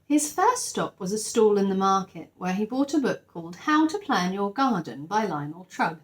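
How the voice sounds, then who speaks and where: A woman's voice: medium pitch, big pitch swings, moderate pace. A woman narrating a children's story expressively, like a teacher reading aloud to her class.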